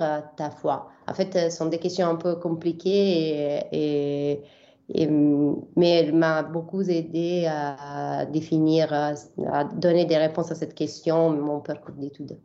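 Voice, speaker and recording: A woman's voice: low voice, mellow, dull audio. A young Italian woman from southern Italy, a biblical scholar answering interview questions in French with many fillers and restarts.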